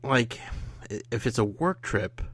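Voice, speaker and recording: A man co-hosting a podcast with a bright, forward tone and an animated pitch that swings up and down. He chimes in playfully with quick jokes. The sound is dry and close. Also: breathy edge, no room sound. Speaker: podcast co-host. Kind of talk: casual podcast chat.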